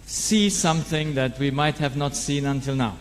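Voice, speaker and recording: A middle-aged man gives a religious talk in short, halting phrases of speech, pausing for an interpreter. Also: a little high, a little hiss or hum. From Romania. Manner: halting, non-native phrasing. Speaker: guest speaker, doctor and lay preacher. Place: large church hall. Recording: long hall reverb.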